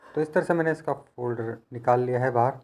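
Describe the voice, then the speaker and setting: A man's voice: medium pitch, fairly quick, a little mellow. Patient man explaining hands-on repair steps. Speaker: phone repair technician giving a tutorial. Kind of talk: hands-on instructional narration, conversational.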